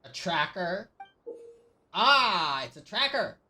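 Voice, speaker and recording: A woman's voice: fairly low pitch, very animated, takes it slowly, pushed and bright, recorded from a distance. A woman with a Filipino accent doing casual live commentary as she plays a game, reacting aloud and switching between languages. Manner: reacts aloud, mixing English and Tagalog. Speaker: game streamer.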